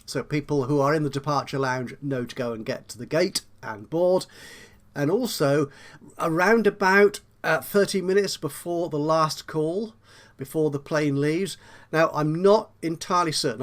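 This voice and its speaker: A man giving an unscripted tutorial commentary on a video game, explaining step by step with hesitant asides and self-corrections. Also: fairly high pitch. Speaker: tutorial host.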